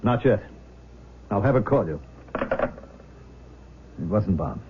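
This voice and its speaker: Anxious kindly dad, lowish voice, hesitant, old radio drama, tinny recording. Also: male voice, animated, noisy background. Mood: uneasy, halting. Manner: hesitant, earnest, halting. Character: anxious, kindly middle-aged father. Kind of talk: 1970s radio drama acting. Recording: thin phone-like old radio broadcast.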